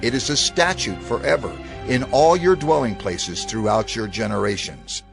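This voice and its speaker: A man reading scripture as dramatized narration in a solemn, deliberate, declamatory way. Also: medium pitch, creaky. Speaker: scripture reader. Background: music.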